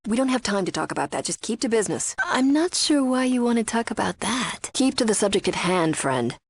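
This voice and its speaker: Husky, guarded cult follower. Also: female voice, medium pitch. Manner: alternately airy devout reverence and blunt disdain. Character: guarded cult follower woman, secretly cynical informant. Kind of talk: video game character acting.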